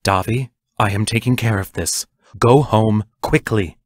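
A woman's voice: a bass voice, unhurried, no room sound, studio quality. A woman playing a terse, protective, anguished teacher in an audio drama, giving firm commands. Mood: stern, urgent. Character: stern, protective, anguished adult teacher facing fairies.

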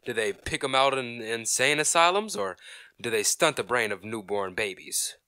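A man's voice: medium pitch, lively intonation, normal speed, sharp tone, smooth, no room sound. A man playing an indignant, stubborn middle-aged husband in an old-time radio comedy, blustering, sarcastic and emphatic. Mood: outraged.

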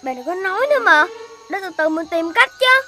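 An actor voicing a childish, whiny little animal boy in a children's cartoon, with drawn-out delivery. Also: extremely high pitch, sing-song, slight background noise, full character voice. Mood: homesick, whining. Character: cartoon puppy and piglet boys, childish, whiny, eager. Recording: loud music behind.

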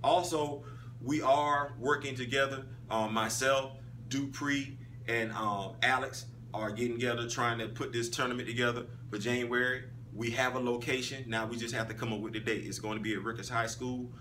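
Animated man recapping football, bright voice. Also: moderate pace. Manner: animated, emphatic, swings between loud calls and low asides. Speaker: flag football league host. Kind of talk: casual sports recap monologue. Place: small indoor room, in front of a wall poster. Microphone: phone camera at arm's length. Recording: phone audio, a bit distant, faint hum.

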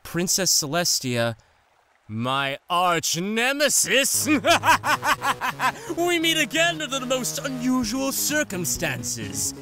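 Man as a slow, gloating high-pitched villainess pony. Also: very animated, over music, full character voice. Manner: theatrical, drawn out, cackling. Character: gloating female pony arch-villain, grandiose and mocking. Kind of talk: comic cartoon parody dub.

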